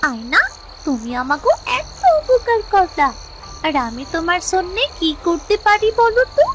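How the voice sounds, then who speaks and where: A woman's voice: very high-pitched, sing-song, moderate pace, background noise. A woman voicing a kind, grateful young village girl in a children's cartoon, sweet and earnest, with a rural Bangladeshi Bengali accent. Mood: grateful, wistful. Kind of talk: children's cartoon fairy-tale dialogue. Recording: music behind the voice.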